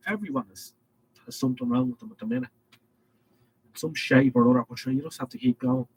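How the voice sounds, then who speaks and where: A man's voice: mid-range voice, fairly quick, a little mellow, husky, rough recording. A man with an Irish accent and a head cold, hosting a casual live stream and reading out viewer comments in a rambling way. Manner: rambling, reads chat messages aloud.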